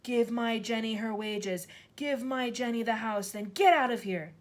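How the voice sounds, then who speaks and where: A woman voicing a ghostly man who speaks slowly and threateningly. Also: fairly high pitch, voice pushed up, lightly acted. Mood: menacing. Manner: slow, menacing. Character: ghostly man's voice, slow and threatening.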